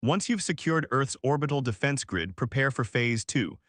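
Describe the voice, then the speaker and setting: An authoritative male admiral of a human space fleet, put on by a male narrator, briefs formally and in measured phrases. The recording is close and very dry. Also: mid-range voice, expressive, a bit bright, dry recording. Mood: commanding.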